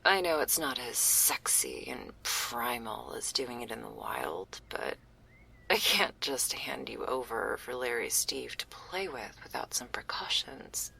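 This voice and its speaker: A woman playing a laid-back, teasing but caring stoner werewolf girl in an intimate ASMR roleplay, breathy and often near a whisper, with casual crude slang. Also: very deep voice, animated, a little slow, bright, forceful tone, heavily acted, low-quality recording. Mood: wry, apologetic.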